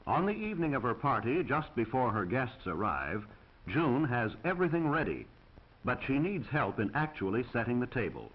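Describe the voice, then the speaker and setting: A man's voice: medium pitch, very animated, moderate pace, lo-fi sound. An American man reading scripted 1940s instructional film narration in a formal, precise, instructive manner on an old film soundtrack.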